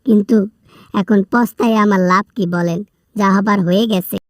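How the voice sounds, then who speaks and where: A woman's voice: medium pitch, normal speed, no echo, lightly acted. Woman doing a pleading bangladeshi jailbird guy in a phone skit, whiny, keeps repeating words, dry sound. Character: whining, pleading male prisoner taking a phone call from jail. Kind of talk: comic prank-style phone call skit.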